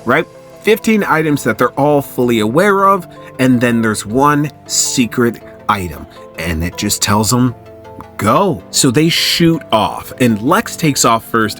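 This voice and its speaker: Animated man giving a casual recap. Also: mid-range voice. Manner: animated, conversational storytelling. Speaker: host of a comic book recap channel.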